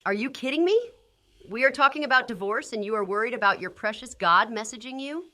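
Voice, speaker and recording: A woman's voice: fairly high pitch, brisk, pushed and bright. A woman playing an exasperated, skeptical wife in a horror audio drama. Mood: angry. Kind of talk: old-time radio style horror audio drama acting.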